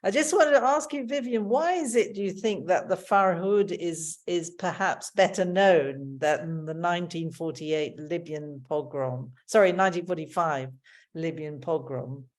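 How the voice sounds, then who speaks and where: A woman's voice: on the low side, unhurried, a little mellow. A woman hosting an online talk, moderating in a conversational way and putting questions to the guest. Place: remote online video call.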